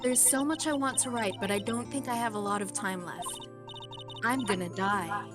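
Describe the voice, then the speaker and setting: A woman's voice: medium pitch, moderate pace, music in the background, close to an everyday voice. A woman voicing a spirited, teasing young woman, bossy yet affectionate, in a game fan dub. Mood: wistful.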